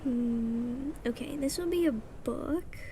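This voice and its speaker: A young woman with a soft voice chatting casually and rambling while she draws on a livestream. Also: high voice, takes it slowly, background noise. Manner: chatty, rambling, self-deprecating, mutters settings under her breath. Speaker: streamer. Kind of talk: casual chat while drawing on stream. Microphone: streaming mic.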